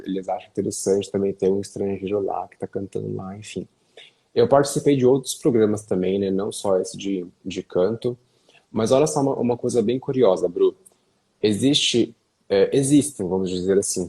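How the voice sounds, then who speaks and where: Low husky Brazilian man, chatty. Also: mellow. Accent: Brazilian Portuguese. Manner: chatty, frequent fillers and tag questions. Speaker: guest. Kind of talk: casual interview chat.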